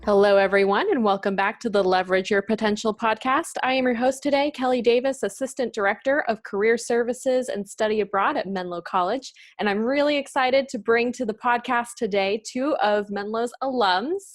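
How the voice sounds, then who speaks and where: A woman's voice: on the high side, brisk, hardly any pauses. An upbeat woman hosts a podcast interview. She is friendly and laughs easily as she guides the conversation. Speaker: podcast host, career services assistant director.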